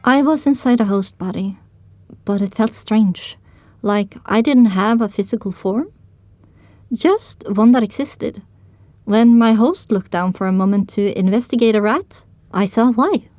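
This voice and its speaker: A woman's voice: medium pitch, unhurried, a little mellow, no room sound. Woman narrating a story, dry close sound. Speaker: narrator of an audio drama. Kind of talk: first-person dramatic story narration.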